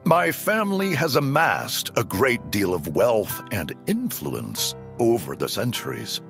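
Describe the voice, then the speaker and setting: A man voicing an earnest, gravelly young noble. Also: mid-range voice, very animated, a little slow, heavily acted. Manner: earnest, gentle, formal. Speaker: voice actor. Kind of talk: audiobook drama acting. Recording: over soft background music.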